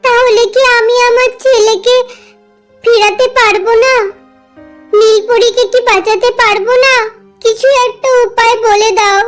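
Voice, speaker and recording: A woman's voice: extremely high pitch, steady tone, measured pace, faint music behind. A woman voicing a small, earnest mother bird with a pleading manner in a children's cartoon. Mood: desperate, pleading.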